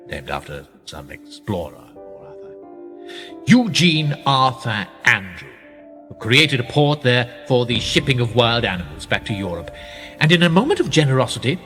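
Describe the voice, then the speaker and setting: An actor in a radio play voicing a worldly, powerful establishment insider, speaking in a pedantic, suavely condescending way. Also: male voice, medium pitch, fairly bright tone. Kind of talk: radio drama dialogue. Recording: long reverberant hall, music underneath. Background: music.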